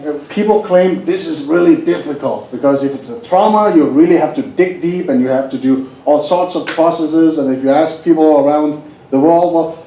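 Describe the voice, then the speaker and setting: Danish-accented male seminar speaker, jokey and chatty, mellow tone, roomy echo. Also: on the high side, slight background noise. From Denmark. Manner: jokey, asks the audience questions. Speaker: hypnosis presenter. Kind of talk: live seminar talk.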